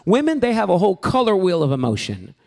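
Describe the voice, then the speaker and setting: A man teaching a sermon-preparation workshop to a live group in a church hall, animated and joking, calling out to the room. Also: sits high, quick pace, creaky. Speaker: preaching instructor.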